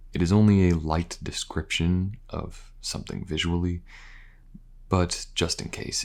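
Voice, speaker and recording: Very deep-voiced man, soft ASMR intro. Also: gravelly. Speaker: voice actor introducing his audio.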